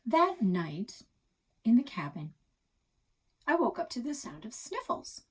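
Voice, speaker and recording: A woman reading a children's novel aloud in an expressive storytelling style. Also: on the high side, takes it slowly, slightly rough. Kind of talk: expressive read-aloud narration.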